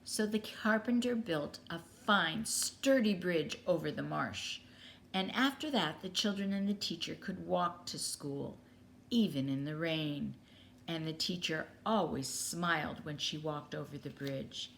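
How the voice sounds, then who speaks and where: A woman's voice: low-pitched, measured pace, fairly bright tone. A woman storyteller reading a children's story aloud expressively. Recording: clearly noisy background.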